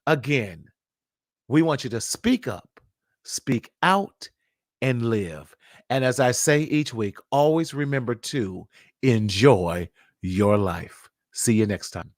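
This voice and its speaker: Male podcast host, mid-pitched and dryly recorded, affectionate and uplifting with online viewers. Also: animated, slow, no echo, clean studio sound. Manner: affectionate, encouraging. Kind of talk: warm motivational talk to online viewers.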